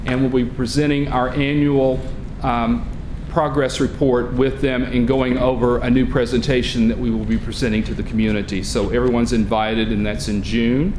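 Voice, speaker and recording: Man, chairing a meeting, loud voice, halting with fillers, room microphone, echoey and noisy. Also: medium pitch, little pitch movement. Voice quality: self-described loud voice. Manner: halting, with many fillers and restarts. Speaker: commission chair.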